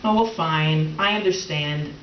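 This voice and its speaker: A woman's voice: low-pitched, a little slow. A woman playing an exasperated, jealous middle-aged wife with dry sarcasm and strained politeness in a comic readers theater play. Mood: jealous, bitter. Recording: echoey, distant, dull, noisy stage recording.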